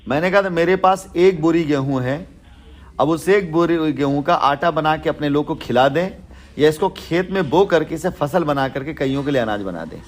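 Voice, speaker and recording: A man's voice: on the high side, normal speed. A man talking as a company boss on a recorded business phone call, rhetorical and repetitive, building points with lists and analogies. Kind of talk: informal business phone conversation.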